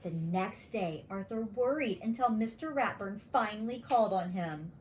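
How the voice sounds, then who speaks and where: A woman reading a bedtime story aloud to young children in an expressive, deliberate teacher's manner. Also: medium pitch, a little hiss or hum, rough recording.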